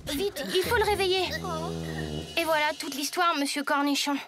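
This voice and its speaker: A woman's voice: sits high, moderate pace, music in the background, close to an everyday voice. A woman voicing a resourceful, earnest young schoolboy hero in a cartoon, eager in manner. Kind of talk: animated cartoon dubbing.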